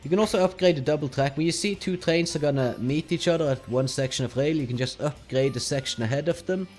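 A man's voice: a little high, quick pace. A young man from Norway with a Norwegian accent, speaking English as a second language while casually commenting on his gameplay step by step. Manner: relaxed, explaining step by step with fillers. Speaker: let's play host.

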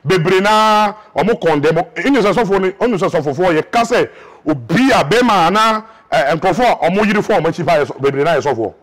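A man's voice: sits high, lively intonation, a little fast. A Ghanaian man in his thirties, a prophet explaining spiritual matters emphatically in an interview, recorded on a lapel mic indoors. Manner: emphatic, gestures widely, mixes Twi and English. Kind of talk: animated interview talk. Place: indoor church hall set with flowers.

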